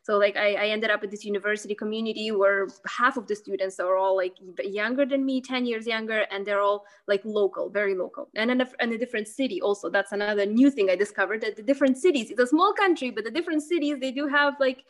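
A woman's voice: mid-range voice, quick pace, hardly any pauses. A young woman from Lithuania in a casual interview, talking hesitantly with many fillers and restarts. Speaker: podcast guest.

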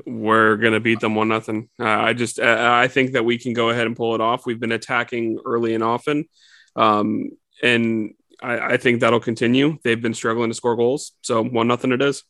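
Gravelly guy on a soccer podcast, laid back, lots of uhs, dry sound. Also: mid-range voice, normal speed, dry recording. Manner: relaxed, laughs often, many fillers. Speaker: podcast co-host. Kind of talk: casual sports chat.

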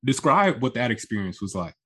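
A man's voice: mid-range voice, big pitch swings, fairly quick, fairly bright tone, breathy edge. A man hosting an interview podcast, affirming his guest and restarting his sentences as he asks questions. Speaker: podcast host. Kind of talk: casual interview conversation.

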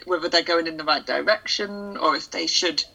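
A woman's voice: low-pitched, moderate pace, creaky, poor audio. A woman in her thirties musing thoughtfully in a casual chat about a film. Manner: thoughtful, muses out loud.

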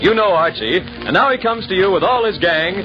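A man's voice: high voice, expressive, a little fast. A man playing a genial announcer, narrating a 1940s radio comedy. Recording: dull 1940s radio recording, music behind.

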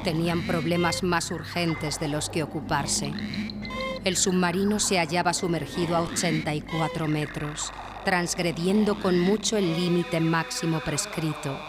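A man giving measured, literary dramatic narration for a radio play. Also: on the high side, steady tone, over music. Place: live stage in a library before an audience.

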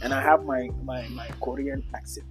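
A man's voice: mid-range voice, steady tone, normal speed, over music. A young man co-hosting a podcast, playful and joking, often laughing while he talks. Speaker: podcast co-host. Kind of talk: casual chat.

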